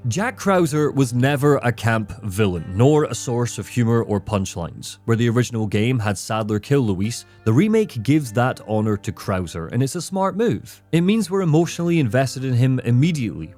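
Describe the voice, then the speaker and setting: Male video essayist, scripted retrospective narration, wry, expressive intonation, faint music behind. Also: medium pitch, moderate pace, hardly any pauses. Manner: wry, opinionated.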